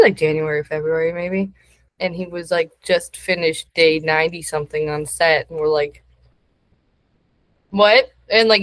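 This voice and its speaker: A woman hosting a casual interview, giggly and animated when telling a story. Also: lowish voice, no echo. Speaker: podcast host.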